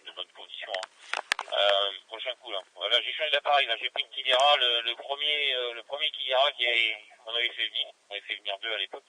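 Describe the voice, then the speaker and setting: A man's voice: mid-range voice, bright, forceful tone. A man in informal, rambling radio chat, with a hesitant delivery. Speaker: amateur radio operator. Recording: noisy two-way radio recording.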